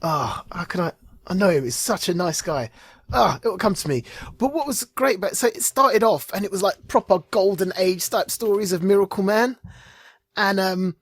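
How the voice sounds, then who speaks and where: A man's voice: high-pitched, animated, moderate pace, breathy edge, no echo. A man hosting a podcast in casual chat, enthusiastic and rambling as he searches aloud for his words. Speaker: podcast host. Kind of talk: casual chat about comics.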